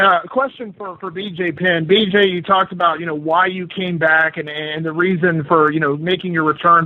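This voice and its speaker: A man's voice: high voice, no echo. A male sports journalist putting long, hesitant, rephrased questions to people at a press conference. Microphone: phone. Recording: dry, narrow telephone conference line.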